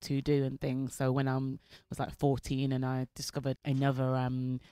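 A woman's voice: very deep voice, completely flat delivery, moderate pace, mellow, clear, resonant tone, no room sound. A woman in her thirties from Birmingham with a mild Birmingham accent, chatting freely as a guest in an interview, with lots of fillers. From Birmingham, England. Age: 30s. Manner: chatty, many fillers, laughs easily. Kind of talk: casual interview chat.

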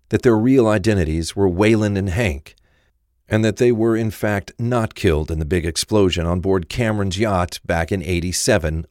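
A man's voice: low-pitched, a little mellow, no echo, studio quality. A man reading scripted narration for a crime thriller audio drama.

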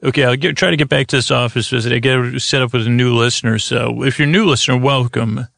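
Creaky-voiced man, meandering and halting. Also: mid-range voice, steady tone, a bit bright, dry recording, studio quality. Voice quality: gravelly, creaky. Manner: meandering, halting, digressive, self-interrupting. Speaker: podcast host. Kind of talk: rambling, improvised bedtime podcast monologue. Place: close, dry studio.

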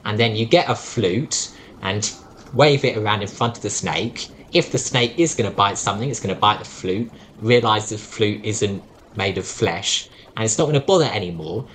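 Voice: medium pitch, a bit bright, a little hiss or hum. A podcast co-host who lectures sarcastically in a casual debate.

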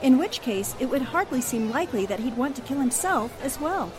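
Woman playing a high-voiced inquisitive 1940s reporter. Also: expressive, brisk. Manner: polite, crisply questioning. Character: inquisitive, polite, self-possessed female newspaper reporter. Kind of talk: 1940s radio drama acting. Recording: music and crowd murmur behind the voice.

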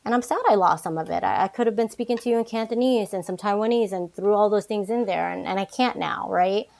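A young woman from New York, a podcast guest talking casually with frequent restarts and quick asides. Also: medium pitch, creaky, a little mellow. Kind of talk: casual interview conversation.

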